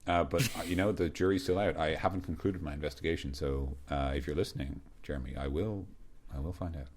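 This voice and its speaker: A man with an extremely low, deep voice co-hosts a casual podcast, talking in a relaxed, rambling way. He peppers his speech with ums and little jokes. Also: quick pace. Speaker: podcast co-host. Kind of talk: casual podcast chat about tabletop monsters.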